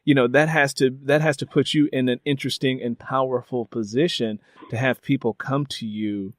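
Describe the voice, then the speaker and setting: A man hosting an interview, thinking aloud in a conversational way with halting, repeated phrases as he builds his questions. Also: mid-range voice, fairly even intonation, no echo, clean studio sound. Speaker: interviewer, host.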